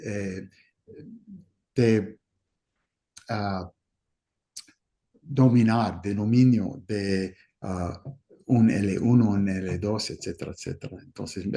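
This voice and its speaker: A man with a low, mellow voice moderates an academic discussion. He talks very slowly and hesitates often, searching for the next word. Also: creaky. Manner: halting, searching for words with long hesitations. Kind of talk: academic discussion, spontaneous remarks.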